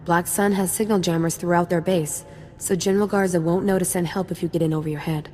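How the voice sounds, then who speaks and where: A woman's voice: lowish voice, steady tone, quick pace, a little mellow, big-room sound, slight background noise, lightly acted. A woman playing a confident, wry young special forces sergeant in a video game, casual and playful. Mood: breezy briefing. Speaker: soldier giving mission briefing. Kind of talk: scripted game dialogue.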